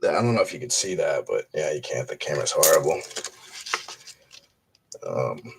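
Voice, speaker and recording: A man's voice: extremely low pitch, very animated, normal speed, creaky, noisy background. A man chatting casually in a live stream while sorting coins, rambling with many fillers and restarts. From Boston area. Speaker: coin roll hunter streaming.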